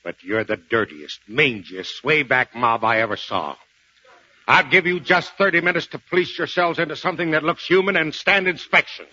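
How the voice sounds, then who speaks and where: Tough old Marine sergeant, forceful, folksy and bluff, on dull vintage radio. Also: male voice, fairly high pitch, normal speed, breathy edge, dry recording, full character voice. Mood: stern, mocking. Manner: barking orders, folksy slangy bluster. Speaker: actor in a radio play. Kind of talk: 1940s radio acting. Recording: dull, lo-fi 1940s radio recording.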